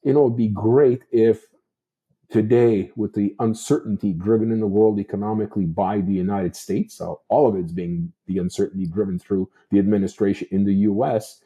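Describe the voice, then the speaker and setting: A man's voice: on the low side, steady tone, normal speed, dark tone, breathy edge. A Canadian man giving unscripted political commentary as a talk show host, discursive and repeating himself for emphasis.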